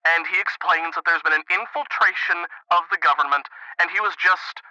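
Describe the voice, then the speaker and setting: A stammering paranoid caller. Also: male voice, on the high side, fairly quick, pushed and bright, no room sound, muffled recording. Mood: nervous. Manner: stammering, hesitant. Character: nervous paranoid young male caller. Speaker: radio caller.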